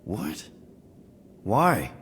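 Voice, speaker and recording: Wisecracking spacefarer, casual, irreverent, very varied intonation, video game. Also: male voice, fairly low pitch, huge pitch swings, drawn-out words, a bit bright, a little hiss or hum, heavily acted. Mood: curious. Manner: informal, flippant, incredulous. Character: wisecracking, casual young male space adventurer. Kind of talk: video game cutscene acting.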